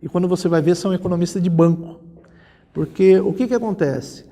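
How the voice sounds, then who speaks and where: A Brazilian man in his forties speaks as an expert interview guest. His voice sits a little high for a man, with plenty of fry, and he explains conversationally, often seeking agreement. The sound carries long reverb. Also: a little fast, warm tone. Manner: explanatory, often seeks agreement with tag questions. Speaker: interview guest, social security lawyer. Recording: reverberant hall-like room.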